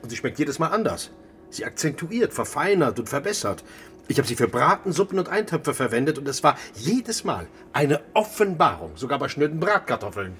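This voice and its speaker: Animated, earnest chef. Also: male voice, fairly high pitch, brisk. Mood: earnest, fervent. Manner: earnest, insistent, repeats himself. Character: earnest, food-obsessed male chef, insistent and somewhat hesitant. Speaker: chef character and narrator. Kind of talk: German radio drama acting.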